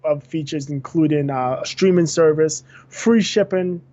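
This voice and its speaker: A man's voice: on the high side, a little slow, dark tone, lo-fi sound. A man co-hosting a gaming podcast, going through news items with frequent fillers and self-corrections. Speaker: podcast co-host.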